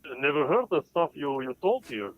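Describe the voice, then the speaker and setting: A man from Albania with an Albanian accent calling in to a show, speaking in a hesitant, halting way. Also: fairly high pitch, sing-song, no room sound. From Albania, Kosovo. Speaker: caller. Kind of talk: phone-in call. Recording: tinny dry phone line.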